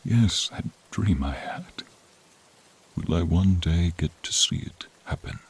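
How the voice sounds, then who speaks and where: Man as a friendly noble dragon, deep register, slow unhurried delivery, breathy, archaic and solemn, fantasy audio roleplay. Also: expressive, darkish tone, heavily acted. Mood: desperate, pleading. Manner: slow, archaic, solemn, addressing listener directly. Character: noble friendly male silver dragon, devoted and courtly. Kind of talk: scripted fantasy ASMR roleplay. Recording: rain sound effects behind the voice.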